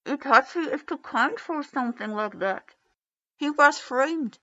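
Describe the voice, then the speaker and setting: A woman voicing an indignant young boy who speaks in a muffled way, pitched higher than her own voice. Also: animated, measured pace, fairly bright tone, no room sound. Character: indignant young boy, clinging to his brother. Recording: dull lo-fi recording.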